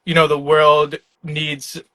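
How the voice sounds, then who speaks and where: A young man from New York speaking on a live video call, his voice bright and forceful, a little higher than most men's. He talks in a relaxed, rambling way, full of "um" and "like," and laughs now and then. The sound is dry and close. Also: slow pace, no room sound. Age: young adult. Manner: laid-back, filler-heavy, laughs often. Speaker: startup founder, guest. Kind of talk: casual conversational interview. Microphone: online live video call.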